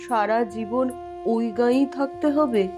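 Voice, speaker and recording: A woman's voice: on the high side, steady tone, moderate pace, over music, natural voice. A woman playing a forlorn, pleading young princess in a fairy-tale cartoon.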